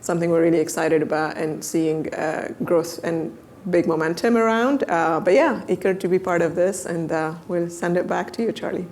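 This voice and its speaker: Woman panelist, low and gravelly, filler-heavy delivery. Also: a little mellow. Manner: halting, full of fillers. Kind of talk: panel presentation.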